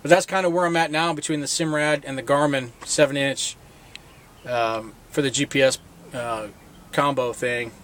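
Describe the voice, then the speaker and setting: A man's voice: mid-range voice, fairly even intonation, moderate pace, fairly bright tone, slight background noise. A middle-aged man talking casually on his boat outdoors, walking through his own repair work in a rambling, self-deprecating way with many fillers and restarts, recorded on a handheld camera. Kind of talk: casual do-it-yourself walkthrough.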